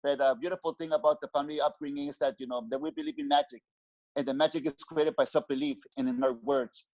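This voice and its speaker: Middle-aged man from Mexico, slightly high voice, earnest reflective guest, muffled lo-fi call sound. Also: steady tone, fast, a little mellow. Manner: earnest, searching for words, many restarts. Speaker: guest spiritual teacher. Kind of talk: reflective interview talk. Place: small room at home. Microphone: headset on video call. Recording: dull lo-fi call audio.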